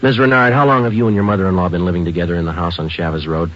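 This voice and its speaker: An American actor playing a calm, relentless police detective in a 1950s-style radio crime drama, deadpan, clipped and politely firm. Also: male voice, sits low, racing, natural voice. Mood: firm, unruffled. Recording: dull lo-fi old-time radio recording.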